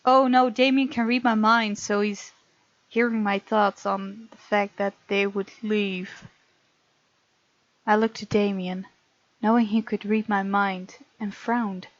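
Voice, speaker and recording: A woman's voice: mid-range voice, flat delivery, takes it slowly, no echo, dull audio. A woman hosting a visual novel let's play, reading the game text aloud expressively and adding chatty reactions. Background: game music.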